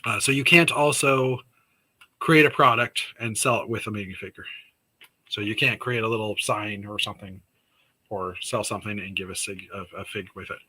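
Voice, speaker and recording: Raspy-voiced man hosting a livestream. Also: on the low side, normal speed, bright, forceful tone, rough recording. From Canada. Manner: rambling, many fillers and restarts. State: raspy, sore throat. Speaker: host of a weekly livestream chat. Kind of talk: casual livestream chat reading news.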